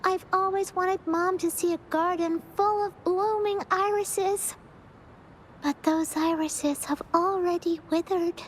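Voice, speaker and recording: A wistful young girl from a video game, with a very high voice. She speaks slowly and hesitantly, with a timid manner. A faint rumble of noise sits behind her. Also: steady tone. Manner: hesitant, soft-hearted.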